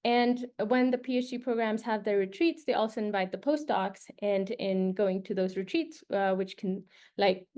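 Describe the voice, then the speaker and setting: A woman's voice: on the high side, darkish tone. A young woman from Nepal, a postdoctoral researcher, giving a casual presentation with many fillers and restarts. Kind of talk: informal presentation to prospective postdocs.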